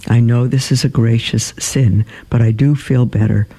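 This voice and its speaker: A man hosting a religious advice show, reading listener emails aloud and answering them in a halting way with many pauses and fillers. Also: mid-range voice, steady tone, mellow, slightly rough.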